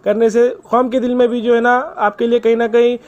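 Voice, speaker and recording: A man's voice: very high voice, little pitch movement, a little fast, darkish tone. A man making an earnest, persuasive appeal on a recorded phone call, polite and deferential, repeating himself for emphasis. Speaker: caller.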